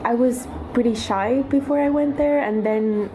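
A woman's voice: a little high, normal speed, music in the background. A young woman from Lapland in Finland, talking casually and candidly in a recorded interview clip. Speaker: exchange student interviewee. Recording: field clip with background noise.

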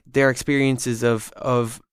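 A man's voice: medium pitch, level tone, takes it slowly, clear, resonant tone, no echo, studio quality. Young Irish man chatting on radio. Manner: chatty, hesitant with many fillers and restarts. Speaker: trainee reporter and co-presenter. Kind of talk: casual radio chat.

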